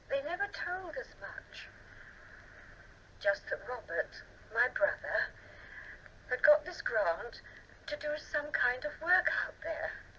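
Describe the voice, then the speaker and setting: Slow, high-voiced worried sister. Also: female voice, very animated, pushed and bright, muffled recording, slight background noise, heavily acted. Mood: subdued worry. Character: worried sister of a missing man.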